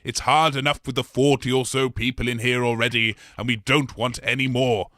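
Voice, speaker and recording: A man plays a polite blob creature made of many absorbed people, with a bright, forward voice at middling pitch. The delivery is hesitant and rambling, quick to apologise, in a dry, close comedy audio drama recording. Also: level tone, no echo, natural voice. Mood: apologetic, anxious.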